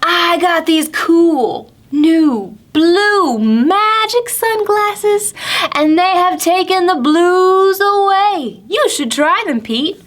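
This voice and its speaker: A woman voicing a cool, laid-back toad from a children's storybook, with a breezy, drawn-out delivery. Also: very high-pitched, animated, voice pushed up, heavily acted. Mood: breezy, pleased.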